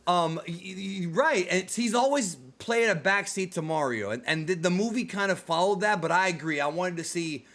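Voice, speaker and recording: A man in his thirties with a slightly high-pitched voice hosts a casual podcast chat. He talks in a conversational, opinionated way, like someone discussing films with a fellow fan. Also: animated, moderate pace, few pauses, fairly bright tone. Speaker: host of a film discussion show.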